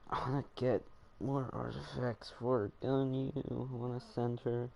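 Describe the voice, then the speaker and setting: Slow-talking man rambling over a game. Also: mid-range voice, flat delivery, creaky, warm tone. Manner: rambling, halting, thinking aloud. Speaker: gamer playing and commenting. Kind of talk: casual gameplay commentary.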